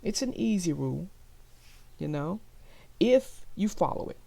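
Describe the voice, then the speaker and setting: A woman narrating a children's story aloud, expressively and deliberately, as if to young listeners. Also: lowish voice, expressive, vocal fry, dark tone, dry recording.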